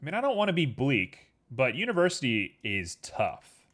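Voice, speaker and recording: This is a man of ordinary pitch talking into a desk mic at his computer, keeping up an easygoing chat with his stream viewers. He rambles along with plenty of fillers. His voice is a little sniffly. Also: wildly swooping pitch, moderate pace, fairly bright tone. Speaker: livestreamer. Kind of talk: casual chat while working on a 3D model.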